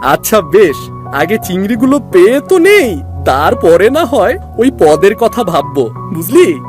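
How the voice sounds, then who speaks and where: Woman as lively, protective village boy. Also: mid-range voice. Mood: reasoning. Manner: brisk, reassuring, mildly bossy. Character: village boy, protective, reassuring elder brother. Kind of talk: Bengali cartoon story voice acting. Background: music and rain.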